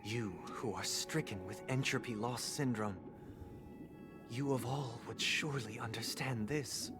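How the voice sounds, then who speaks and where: A man voicing a serene, eloquent game villain, speaking in a solemn, measured, oratorical way. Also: medium pitch, natural voice. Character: serene, eloquent male villain preaching an eternal dream paradise. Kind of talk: theatrical video game dialogue. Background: prominent game music.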